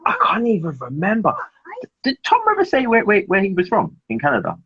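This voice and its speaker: Man, chatty host, lively voice, husky, dull lo-fi call. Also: high-pitched, brisk. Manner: chatty, laughs often, rambling. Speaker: podcast host. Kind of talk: casual video call chat. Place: home. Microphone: video call. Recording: dull lo-fi sound.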